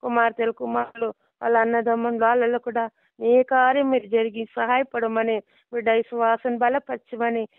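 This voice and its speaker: A woman's voice: a little high, flat delivery, moderate pace, creaky, no echo. A middle-aged woman, a pastor praying and giving counsel over the phone. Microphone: phone line. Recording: muffled lo-fi phone call.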